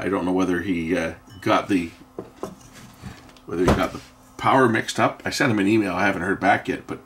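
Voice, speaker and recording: A man giving an unscripted, hands-on technical explanation in a halting way, often pausing and repeating himself to correct what he says. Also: deep voice, fairly bright tone, a little hiss or hum. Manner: halting, self-correcting, with frequent pauses and repeats. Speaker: host of an electronics repair channel.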